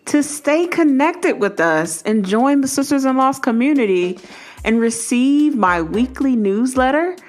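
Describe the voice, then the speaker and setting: A woman reading a scripted podcast outro in a clear, measured way. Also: high-pitched, expressive. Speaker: podcast host. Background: music.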